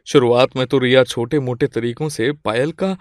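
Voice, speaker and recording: A man's voice: mid-range voice, fairly even intonation, moderate pace, no echo. Man narrating a story, very dry sound.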